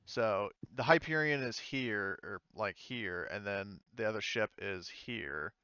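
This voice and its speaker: A man narrating a tabletop game casually as game master, halting and informal in his delivery. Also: lowish voice, bright, forceful tone, smooth, no echo, muffled recording. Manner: halting, informal, mild swearing.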